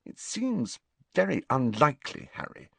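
Man voicing sensible teenage girl, lifted pitch, falling phrase ends, faintly exasperated, audiobook character. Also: wildly swooping pitch, normal speed, creaky, fairly bright tone, slightly rough, no room sound, exaggerated voice. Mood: doubtful, repressive.

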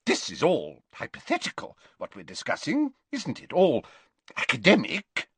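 A man voicing a flustered, uneasy older wizard professor in an audiobook, gruff, faltering and emphatic. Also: a little high, huge pitch swings, moderate pace, no echo, pitched above the performer's own voice, heavily acted. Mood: nervous, furtive. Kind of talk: audiobook character voice.

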